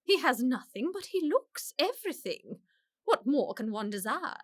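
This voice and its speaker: An actress playing a haughty upper-class English society matriarch in a radio comedy, making pompous, emphatic, drawn-out pronouncements. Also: female voice, sits high, big pitch swings, no room sound, studio quality. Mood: indignant. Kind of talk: radio play comedy of manners.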